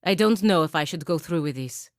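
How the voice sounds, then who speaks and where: A lower-pitched female voice, close and dry, carries a proud knight of a fantasy game. She is stern and formal, bound by duty, with an intense and bitter edge to her delivery. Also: animated, fairly quick, dry recording. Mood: troubled, deliberate. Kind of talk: video game character acting.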